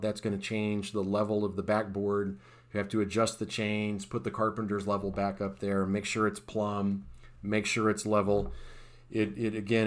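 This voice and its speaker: A man, an installer, talking through the steps of a job in a plain, technical voice-over, with frequent uh's and restarts. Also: on the low side. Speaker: sport court installer. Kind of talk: voice-over explaining a time-lapse install.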